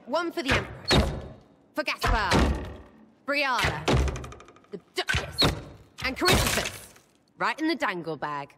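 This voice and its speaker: A woman's voice: a little high, big pitch swings, slow, pauses often, in a large hall, over loud music. Irreverent, mocking elf woman. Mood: spiteful glee. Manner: mocking, slangy, punchy. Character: irreverent, blunt young female elf rogue. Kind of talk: video game dramatic acting.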